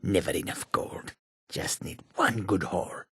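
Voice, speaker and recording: An actor playing a rough, greedy cat-folk bandit in a fantasy video game, with coarse, clipped, grumbling speech. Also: male voice, low-pitched, a little slow, pushed and bright, full character voice.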